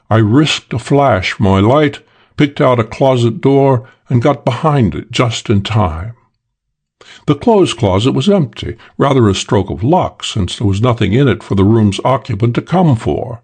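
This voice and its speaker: Guy doing a cool english gentleman crook for an audiobook, gravelly and husky, wry and clipped. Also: mid-range voice, exaggerated voice. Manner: clipped, wry, old-fashioned British slang. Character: suave English gentleman thief, cool and self-assured. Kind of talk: confessional crime story monologue in an audiobook.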